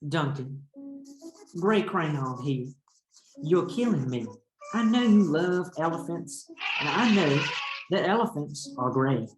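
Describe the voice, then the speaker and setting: A man's voice: a little high, lively intonation, slow pace, pauses often, soft background music. A man voicing a very tired, put-upon gray crayon in a storybook, weary and pleading. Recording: roomy echo with background noise.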